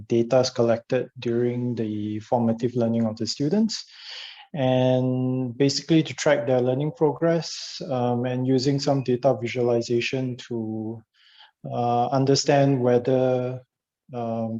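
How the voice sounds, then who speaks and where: Slow, mellow man full of ums. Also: medium pitch. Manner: halting, many ums. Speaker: conference presenter. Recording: slightly dull lo-fi audio.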